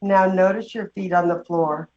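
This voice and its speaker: A woman leading a guided meditation, giving slow, gentle instructions with long pauses. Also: on the low side, fairly even intonation. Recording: dull, muffled lo-fi sound.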